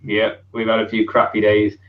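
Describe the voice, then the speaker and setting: A man's voice: on the low side, completely flat delivery, moderate pace, phone-quality sound. Easygoing man hosting a casual chat. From Perth, Australia. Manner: easygoing, filler-heavy. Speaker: host.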